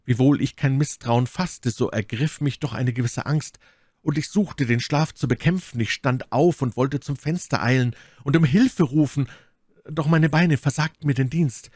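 A man's voice: mid-range voice, normal speed, a little mellow, no room sound, clean studio sound, pitched above the performer's own voice, close to an everyday voice. A man reading aloud, voicing a proud, manipulative noblewoman held prisoner, in a dramatic pleading tone. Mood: melancholy, solemn. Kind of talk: audiobook character reading.

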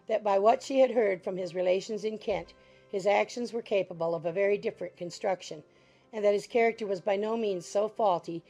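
A woman reads a classic novel aloud in a mellow, darker voice, with even and composed pacing. Gentle music plays faintly beneath the narration. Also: medium pitch, low-quality recording. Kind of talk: scripted audiobook narration.

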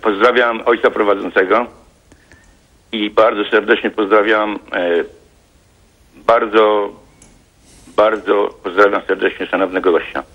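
A man calls in to a TV talk show, speaking formally and hesitantly, with reflective pauses. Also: lowish voice, fairly even intonation. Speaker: caller. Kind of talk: phone-in call to a TV talk show. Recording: dull phone line.

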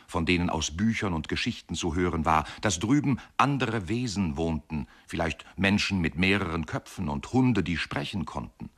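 Radio-play narrator: reflective man, low register, measured and expressive literary storytelling. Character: reflective male narrator recalling a riverside boyhood.

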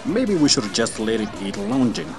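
Man as a cocky, animated male fighter. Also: fairly low pitch, normal speed, over music, crowd noise. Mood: sardonic. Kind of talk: hobby radio drama acting.

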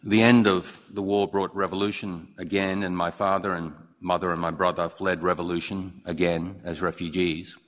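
A man, giving a measured, earnest formal speech as a newly appointed judge, with occasional slips and restarts. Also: deep voice, little pitch movement. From Hong Kong. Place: large courtroom. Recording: long reverb of a large courtroom.

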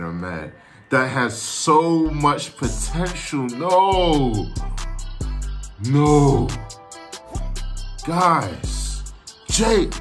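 A man's voice: mid-range voice, a bit bright. A man in his twenties giving casual, slangy, profane reaction commentary as host of a video. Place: small room indoors.

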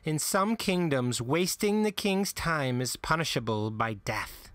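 A man narrating a vlog in a dry, deadpan, mock-solemn voice-over. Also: a little high, a little slow, no room sound.

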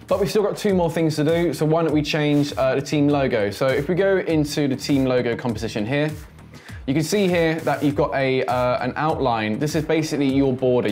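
A man in his twenties giving a casual, chatty software tutorial, thinking aloud as he demonstrates. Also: medium pitch. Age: 20s. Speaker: host, tutorial presenter.

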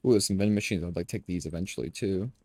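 A man's voice: low voice, very fast, a little hiss or hum. A young man with a nasal voice, casually commenting on his gameplay to viewers through a headset. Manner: relaxed, talks to viewers as "boys". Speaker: gaming streamer. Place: home room, indoors.

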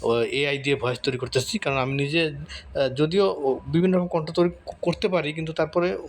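Male tutorial host, slightly high pitch, informal explanatory delivery over some background noise. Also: steady tone, moderate pace. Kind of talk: informal step-by-step screen tutorial. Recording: clearly audible background noise.

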